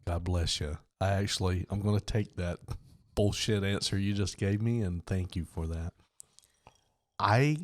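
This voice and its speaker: A Southern US man with a very low, deep voice, chatting easily as a podcast co-host. His talk is laid-back and drawling, with the soothing tone he says listeners fall asleep to. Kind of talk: casual chat over bourbon.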